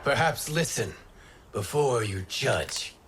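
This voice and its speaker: A man playing a noble, earnest god in a video game cutscene, speaking in a measured, formal, persuasive way. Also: mid-range voice, big pitch swings, music in the background. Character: calm, earnest, noble wind god pleading for reason.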